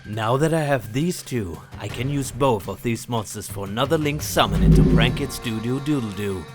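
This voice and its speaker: A man's voice: mid-range voice, lively intonation, normal speed, natural voice. A man playing a determined, earnest hero duelist in a fan audio drama. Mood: focused, commanding. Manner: earnest, resolute. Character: determined, brooding male hero duelist, kind to companions. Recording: music behind the voice.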